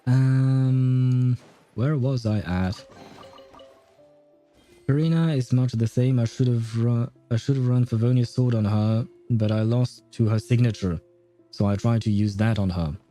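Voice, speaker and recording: Male streamer, easygoing mellow tone, wry informal chat, dry mic, light game music. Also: mid-range voice, unhurried. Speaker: game livestreamer. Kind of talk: casual live gaming commentary, chatting with viewers.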